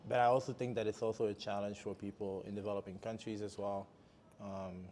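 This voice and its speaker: A man's voice: on the low side, little pitch movement, fairly quick, a little mellow, a little hiss or hum. A man hosting a podcast, talking casually in loose, meandering sentences full of "um" fillers. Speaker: podcast host. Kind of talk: casual interview chat.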